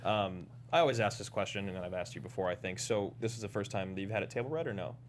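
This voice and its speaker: A man from Oregon chats casually as a panelist. His voice is very low and he talks fast, with a slight hum of noise in the background. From Oregon, United States. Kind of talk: casual chat.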